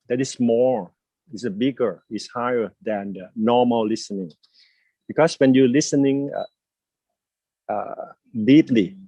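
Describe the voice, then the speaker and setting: Older Thai man with halting speech. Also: medium pitch, dark tone, no room sound, rough recording. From Thailand. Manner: halting, many fillers, gestures while talking. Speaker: host. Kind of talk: casual live video chat. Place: home, by a window with plants. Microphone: earphone mic on a video call.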